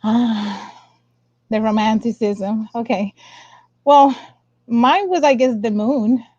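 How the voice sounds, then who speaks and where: A woman's voice: on the high side, takes it slowly, darkish tone, no echo. A young woman from the Dominican Republic speaking as an interview guest, telling her own story in a candid, casual way. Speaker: interview guest, science communicator. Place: indoor room, on a video call. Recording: dull lo-fi video call audio.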